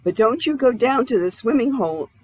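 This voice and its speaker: A woman's voice: a little high, expressive, brisk, a little mellow, breathy edge, pitched above the performer's own voice, rough recording. A woman voicing a proud, helpful male zebra in a children's storybook, in a friendly, encouraging way. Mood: suggesting. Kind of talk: children's storybook read-aloud.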